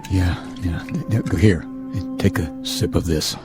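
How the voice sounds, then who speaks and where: Stern, low-voiced space captain. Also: male voice, fairly even intonation, slow pace, music in the background. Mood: weary concern. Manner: authoritative, clipped orders. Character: stern, weary spaceship captain, stranded commanding officer. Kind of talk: radio drama acting.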